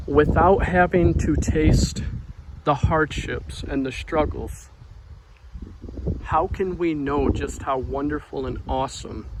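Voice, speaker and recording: Man, quiet soft voice, slow unhurried delivery, middle pitch, outdoors by a street, faint passing cars. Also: noisy background. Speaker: devotional vlogger. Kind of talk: informal spoken devotional.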